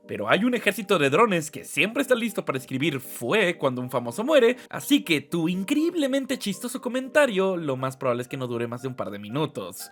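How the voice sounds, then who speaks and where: Male narrator, 20s, comic video essays, mock-serious and sarcastic, highly expressive delivery. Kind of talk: humorous scripted narration. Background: background music.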